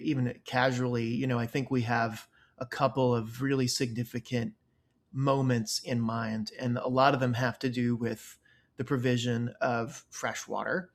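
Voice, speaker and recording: Man host asking a thoughtful question. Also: mid-range voice. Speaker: podcast host. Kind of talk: interview question.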